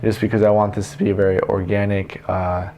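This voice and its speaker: Low-pitched young man, casual and halting. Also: little pitch movement, dark tone, soft background music. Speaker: vlogger giving self-help tips. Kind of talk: casual, unscripted advice monologue. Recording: noticeable background noise.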